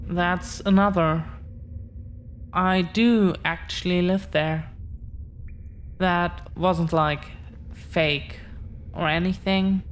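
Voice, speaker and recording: Woman acting very slow-spoken, earnest dragon-blooded girlfriend. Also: lowish voice, a little hiss or hum. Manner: halting, earnest, deliberate pauses. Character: affectionate, protective dragon-blooded girlfriend confessing her secret. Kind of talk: intimate audio roleplay.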